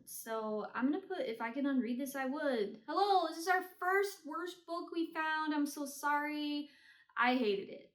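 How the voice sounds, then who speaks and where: A woman giving a casual, chatty book review with blunt, hyperbolic opinions and slangy phrasing. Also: high-pitched. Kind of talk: casual chatty ranking of books read. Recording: roomy, echoey sound.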